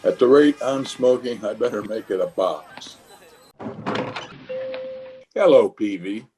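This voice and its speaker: A man's voice: lowish voice, expressive, slow, background noise. A man playing a flustered, blustering middle-aged bachelor in an old-time radio comedy, hemming and sheepish. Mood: fretful, resigned.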